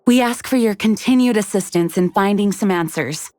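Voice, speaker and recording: A woman playing a dutiful knight commander in a game cutscene, measured and formal, sometimes sighing. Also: medium pitch, a little mellow, a little hiss or hum, close to an everyday voice. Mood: earnest.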